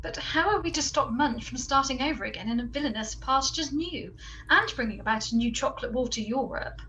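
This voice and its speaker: A woman's voice: sits high, expressive, fairly quick, sharp tone. A woman playing an earnest, formal adventuring heroine in a comic radio drama. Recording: noisy, distant from the mic.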